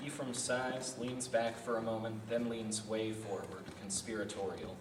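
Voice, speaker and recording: A man's voice: fairly low pitch, flat delivery, normal speed. A man narrating stage directions from a script at a live table read. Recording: echoey room from far off, noisy.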